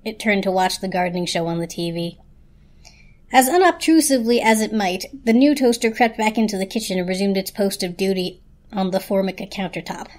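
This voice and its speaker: A woman's voice: mid-range voice, a little fast, darkish tone. A woman narrating a dramatic reading of a children's story.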